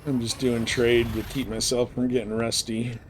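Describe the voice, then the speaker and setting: A man's voice: mid-range voice, flat delivery, moderate pace, slight background noise, poor audio. A man giving casual live game commentary, rambling and thinking aloud as he plays. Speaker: game streamer.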